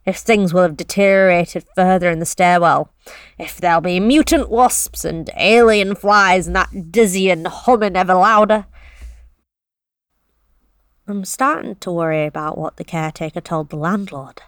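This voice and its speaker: A woman's voice: fairly low pitch, a little slow, clear, resonant tone, no echo, clean studio sound, close to an everyday voice. An actress playing a nervous young delivery driver, telling her story in a tense, confessional first-person monologue with blunt swearing. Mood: uneasy. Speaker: narrating actor.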